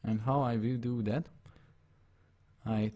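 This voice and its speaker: A man's voice: mid-range voice, fairly even intonation, takes it slowly, dark tone, lo-fi sound. A man with a Hungarian accent, a native Hungarian speaker, talking through a live drawing tutorial step by step and often checking that the viewer follows. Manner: narrates his on-screen steps, often checks the viewer follows.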